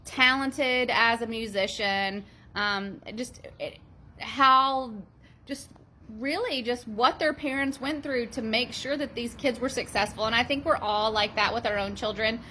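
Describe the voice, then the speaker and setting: Bright-voiced chatty woman teacher. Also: on the high side, measured pace. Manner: chatty, earnest, many ums. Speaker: teacher and school librarian reviewing books. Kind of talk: casual book review vlog.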